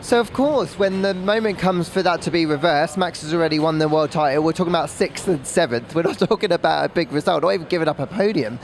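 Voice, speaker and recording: A man's voice: on the high side, fast, gravelly, few pauses, slight background noise. A man giving casual sports analysis as a motorsport podcast pundit.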